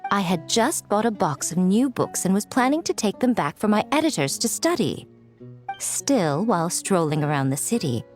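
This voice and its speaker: A woman's voice: medium pitch, close to an everyday voice. A woman playing a sly, teasing, elegant editor in a video game, with a coy, coolly amused manner. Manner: coy, drawling, mock-plaintive. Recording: music behind the voice.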